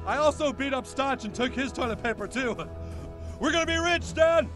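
An actor playing an excitable, greedy cartoon dad who shouts and gets giddy about getting rich, over game music. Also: high voice, moderate pace. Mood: frantic. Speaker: father.

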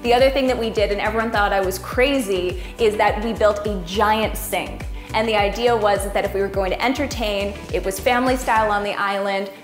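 A woman's voice: medium pitch, steady tone, a little fast, roomy sound. A woman, giving a house tour as the homeowner in an interview style to camera. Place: indoors, in a home kitchen. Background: background music.

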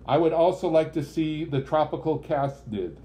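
A man's voice: a little high, animated, moderate pace, warm tone, close to an everyday voice. A man reading the part of a serene, free-spirited young woman with a lyrical manner in an audiobook.

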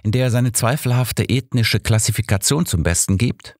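A man's voice: medium pitch, normal speed, no echo, clean studio sound, pitched above the performer's own voice, lightly acted. A man voicing an older, earnest physician companion in an audiobook, formal and learned. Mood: troubled. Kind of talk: audiobook character dialogue.

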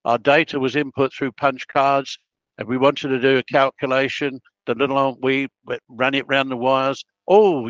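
A man's voice: mid-range voice, fairly bright tone, dry recording, dull audio. A man in his eighties with an Australian accent, a guest on an interview podcast, telling long rambling stories about his career with frequent self-interruptions. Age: 80s. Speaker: guest, project planner. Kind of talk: casual interview storytelling.

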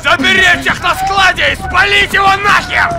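An actor playing a ruthless, fanatical Russian military commander in a video game, shouting with menace. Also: male voice, very high voice, fairly even intonation, normal speed, a loud crowd. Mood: furious command. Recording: battle noise and shouting behind.

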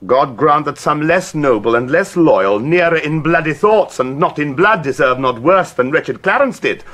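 An actor playing a sly English nobleman in a Shakespearean audio drama, speaking in a measured, ironic way. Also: a little high, expressive, a bit bright. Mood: sly, pointed.

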